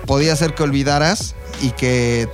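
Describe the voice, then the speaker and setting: A man's voice: medium pitch, steady tone, moderate pace. A man with a Mexican accent telling history as casual gossip, explaining informally with slang and imagined quotes. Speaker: podcast co-host telling history. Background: background music.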